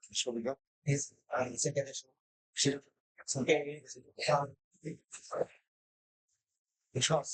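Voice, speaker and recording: Guy mumbling offstage, quiet, half-heard. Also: medium pitch, low-quality recording.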